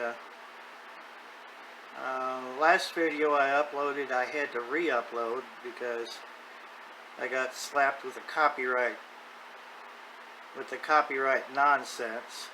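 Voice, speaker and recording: A man in his fifties talking casually and off the cuff as a radio hobbyist, plain-spoken and folksy. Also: mid-range voice. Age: 50s. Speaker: radio hobbyist video host. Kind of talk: casual rambling vlog talk. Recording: clear background noise.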